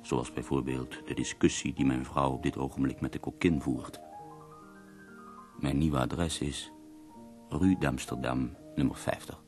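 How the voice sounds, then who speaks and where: An actor playing a gravely ill, bitterly ironic poet in a radio drama, speaking in a slow, weary, literary manner. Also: male voice, extremely low pitch, fairly even intonation, over music. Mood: wry, suffering. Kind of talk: radio drama monologue and dialogue.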